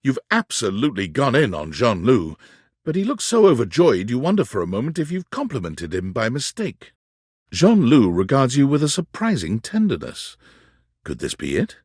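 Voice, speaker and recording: An actor playing a wry, dramatic video game narrator, reading scripted narration in a husky voice. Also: male voice, mid-range voice, huge pitch swings, normal speed, darkish tone, dry recording, studio quality.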